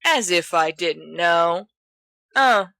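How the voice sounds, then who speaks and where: A woman reading a storybook as a bossy, sharp-tongued young woman with a booming, mocking manner. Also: expressive, takes it slowly, vocal fry, no echo, studio quality, exaggerated voice.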